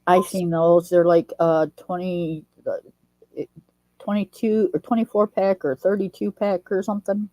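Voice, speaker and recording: A woman's voice: lowish voice, little pitch movement, measured pace, warm tone, no room sound, poor audio. A woman hosting a casual online chat, sounding a little nasal from a head cold and telling things in a chatty, folksy way. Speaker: host.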